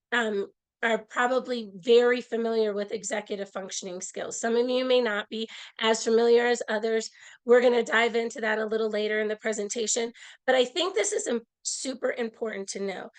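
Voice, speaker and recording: A woman presenting an online workshop over a video call, slightly hoarse from a cold, explaining earnestly with frequent restarts. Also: on the high side. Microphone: earbud mic on a video call.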